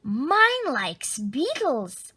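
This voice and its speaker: A woman voicing excitable, wide-eyed schoolchildren in a storybook, with drawn-out words. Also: sits high, heavily acted. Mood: proud.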